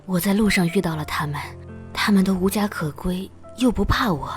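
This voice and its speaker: A woman voicing a timid, sorrowful ghostly mother in an animated drama, with a small, breathy voice. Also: medium pitch, brisk, soft background music, natural voice. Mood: wistful. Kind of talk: Chinese animated drama voice acting.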